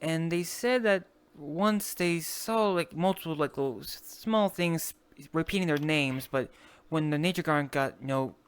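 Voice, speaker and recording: Clear, hesitant roleplay player, medium pitch. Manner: hesitant, halting, rambling. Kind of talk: improvised in-character online roleplay chat.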